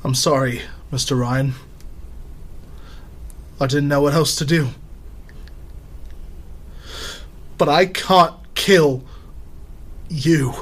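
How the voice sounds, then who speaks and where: A man's voice: medium pitch, breathy edge. A man playing a decent, anguished working-class family man in an audio drama, earnest, with a British accent. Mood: remorseful.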